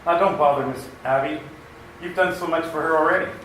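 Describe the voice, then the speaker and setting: A man's voice: a little high, fairly quick, a bit bright, distant mic, close to an everyday voice. A man playing a friendly, plainspoken neighborhood police officer in a radio comedy, folksy and matter-of-fact. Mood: rueful. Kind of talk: old-time radio comedy acting. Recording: echoey hall from afar, faint noise.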